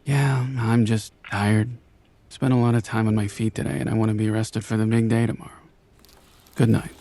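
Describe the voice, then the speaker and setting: An actor playing a gentle, soft-spoken older grandfather in an animated comic drama, talking without hurry. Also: on the low side, normal speed, a little hiss or hum, close to an everyday voice. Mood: subdued, weary. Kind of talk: animated motion-comic voice acting.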